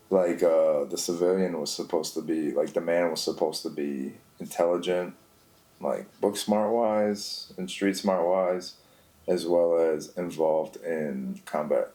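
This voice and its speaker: A man's voice: low voice, fairly even intonation, takes it slowly, warm tone. A man chatting casually as a podcast guest, laid-back and full of "you know what I mean" asides. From Greek family, raised bilingual. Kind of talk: casual chat.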